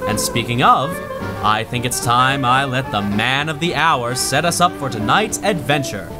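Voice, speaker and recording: An actor playing an enthusiastic announcer for a 1930s-style radio adventure serial, introducing the show and reading an ad. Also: male voice, mid-range voice, moderate pace, over music, close to an everyday voice. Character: cheery male old-time radio announcer.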